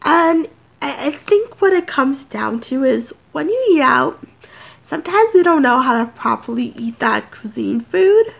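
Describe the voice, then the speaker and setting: A woman's voice: high-pitched, sing-song, unhurried, gravelly. Chatty congested woman in her thirties. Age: 30s. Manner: rambling, chatty, many fillers. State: congested, nasal. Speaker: vlogger. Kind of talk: casual sit-down chat to camera. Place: home office, indoors.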